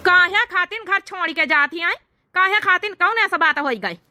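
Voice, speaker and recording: A woman's voice: very high voice, expressive, normal speed, bright, forceful tone, soft background music, exaggerated voice. A woman voicing an exasperated village husband in a comedy cartoon, scolding and grumbling in an Awadhi accent. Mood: grumbling, alarmed. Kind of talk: exaggerated cartoon comedy dialogue.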